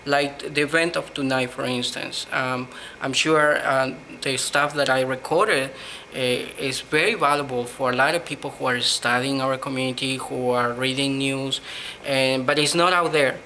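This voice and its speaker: A bright-voiced man with a Peruvian Spanish accent, speaking English as his second language. He answers in an earnest, measured way in a flat, steady tone, pausing on ums and uhs. Faint hiss sits behind him. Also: mid-range voice, low-quality recording. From Peru. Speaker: blogger, interview guest. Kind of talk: interview answers.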